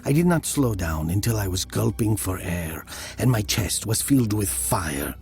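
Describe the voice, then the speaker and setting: An actor playing a haunted, guilt-ridden killer, narrating his confession in a brooding, deliberate, sometimes hushed manner. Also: on the low side, big pitch swings. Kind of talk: dramatic first-person literary narration. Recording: music behind the voice. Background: music.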